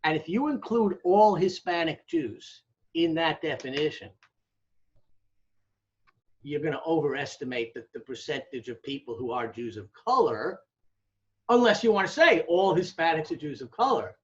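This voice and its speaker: Higher-voiced American man explaining emphatically. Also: lively intonation, moderate pace. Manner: emphatic, didactic, poses rhetorical questions and checks with "okay?". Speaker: academic interviewee, demographer. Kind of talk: conversational explanatory interview. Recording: dull, lo-fi audio.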